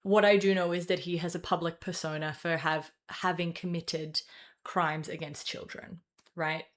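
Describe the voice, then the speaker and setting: A young woman hosting a casual political commentary show, talking in a rambling way with swearing and quick self-corrections. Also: lowish voice, fairly even intonation. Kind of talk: casual opinion commentary to camera.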